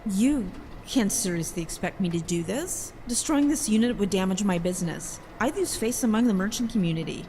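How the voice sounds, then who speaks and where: A woman's voice: medium pitch, animated, brisk, dark tone, a little hiss or hum, lightly acted. An actress in an audio drama playing a haughty, dangerous noblewoman with an imperious, formal and cutting manner. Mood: irritated. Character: haughty, lethal, easily annoyed adult noblewoman.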